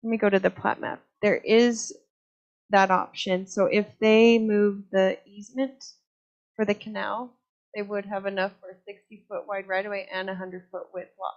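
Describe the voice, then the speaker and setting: A woman with a medium-pitched voice presents recommendations to a civic board. The sound is somewhat muffled and lo-fi. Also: flat delivery, normal speed. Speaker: city planning staff presenter. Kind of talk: presenting recommendations at a public meeting. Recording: dull lo-fi meeting audio.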